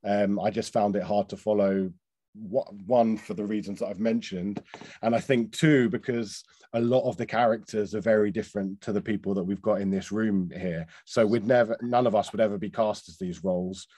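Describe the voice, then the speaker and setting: A man giving informal feedback on a panel, speaking in a halting, thoughtful way. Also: on the low side, fairly even intonation, warm tone, husky, no echo. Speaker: casting director on a feedback panel.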